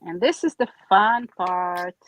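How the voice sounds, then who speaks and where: A woman's voice: lowish voice, animated, slow pace, no room sound, rough recording. A woman with an Israeli accent tinged with New York, chatting as she narrates her steps in a live craft demonstration. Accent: Israeli with New York traces. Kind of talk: live crafting demo chat.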